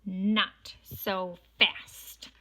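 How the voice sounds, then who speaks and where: A woman's voice: mid-range voice, little pitch movement, drawn-out words, bright, forceful tone. A woman voicing a stern, menacing substitute teacher who snaps out commands in a children's storybook. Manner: snapping, commanding, unpleasant. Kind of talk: children's storybook read-aloud.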